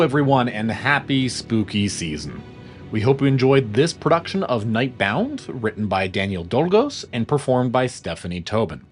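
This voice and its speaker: Animated man presenting a show outro. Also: lowish voice. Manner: animated, playful. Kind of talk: scripted promotional announcement.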